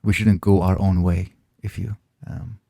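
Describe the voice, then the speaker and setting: Man, Farsi native speaker, gravelly, dark mellow tone, dry close recording, pauses and restarts. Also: low-pitched, flat delivery, dry recording. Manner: thoughtful, pausing often and restarting phrases. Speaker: channel host answering viewer questions. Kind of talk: casual reflective Q&A monologue.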